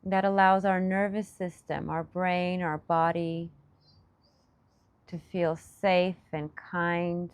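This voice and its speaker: A woman leading a guided meditation in a soothing, gentle manner, speaking in short unscripted phrases with long pauses. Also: lowish voice, little pitch movement, smooth, dry recording. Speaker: meditation teacher.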